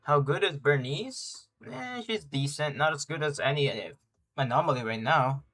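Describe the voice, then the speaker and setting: Man, higher-than-average voice, casual stream chat, slangy, rambling, light game music underneath. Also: animated, moderate pace, fairly bright tone. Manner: slangy, rambling, thinking aloud. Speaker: game livestreamer. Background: game music faintly behind.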